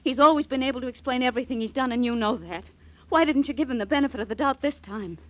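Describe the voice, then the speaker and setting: Actress as a quick, earnest, loyal radio heroine. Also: female voice, high-pitched, lightly acted. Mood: indignant, pleading. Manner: earnest, urgent. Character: loyal, pleading, indignant young woman. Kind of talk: 1940s radio acting. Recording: dull old 1940s radio recording.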